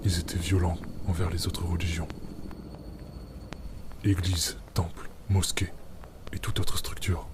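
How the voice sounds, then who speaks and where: A man reads scripted narration for a video. His voice is deep and stays level with little melody, and there is noticeable noise in the recording. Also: flat delivery, a little slow, pauses often. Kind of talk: scripted narration with French translation read-out. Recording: clearly noisy recording.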